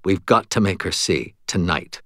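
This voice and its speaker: A man's voice: deep voice, moderate pace, a bit bright, no room sound, clean studio sound. A man voicing a stubborn, wry male ghost in an audiobook, talking in an urgent, snappy manner. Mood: insistent.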